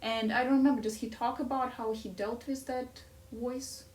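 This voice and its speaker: A middle-aged woman with a noticeable Ukrainian accent and a voice pitched a little high. She talks through a book in a reflective, coaching way and keeps checking in with her listeners. Her tone stays encouraging throughout. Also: steady tone, moderate pace, a little mellow, recorded from a distance. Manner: encouraging, coaching tone with frequent "right?" asides. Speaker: life coach and podcast host.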